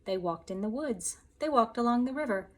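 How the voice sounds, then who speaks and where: A woman's voice: on the high side, expressive, a little fast. A woman teacher reading a children's story aloud, expressively, speaking directly to her young listeners.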